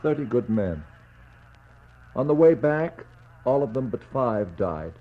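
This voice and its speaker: A man acting a brooding explorer in a 1960s radio play, speaking a poetic monologue in a measured, incantatory way with grim sympathy and weighty pauses. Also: medium pitch, big pitch swings. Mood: brooding, sorrowful. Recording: dull 1960s radio recording.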